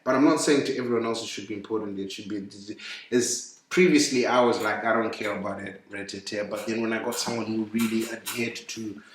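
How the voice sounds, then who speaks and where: A man's voice: medium pitch, a little hiss or hum. Man in an echoey room. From Zimbabwe. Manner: animated, swears, repeats words while restarting. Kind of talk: casual chat. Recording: very echoey room, far from mic.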